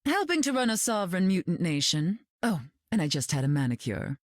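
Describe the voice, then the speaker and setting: A woman's voice: lowish voice, very animated, a little fast, no echo, studio quality. An actress playing a glamorous, cutting mutant queen in a video game, arch, flirtatious and condescending in manner. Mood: dry, condescending.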